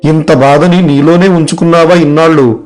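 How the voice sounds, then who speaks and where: Man playing a concerned father, high-pitched voice. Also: fairly even intonation, normal speed, a little mellow, faint music behind, natural voice, poor audio. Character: concerned, caring father of a grown son. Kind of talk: animated moral story dialogue.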